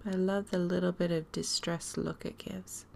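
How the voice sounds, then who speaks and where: A woman hosting a craft tutorial, talking casually and chattily with a hoarse, strained voice. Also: lowish voice, level tone, moderate pace, a little hiss or hum. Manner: chatty, self-deprecating, laughs often. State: hoarse, losing her voice.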